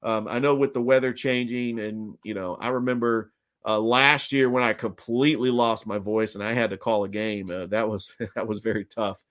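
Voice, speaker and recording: A man's voice: on the low side, steady tone, moderate pace, a bit bright. A man hosting a solo sports talk podcast, opinionated and ranting, his voice strained and nearly gone.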